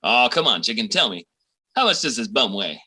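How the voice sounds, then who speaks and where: A man's voice: medium pitch, sing-song, fairly quick, sharp tone, breathy edge, no room sound, studio quality, full character voice. A man playing a cocky, boastful but cowardly boyfriend, slangy and swaggering, in an old-time radio comedy.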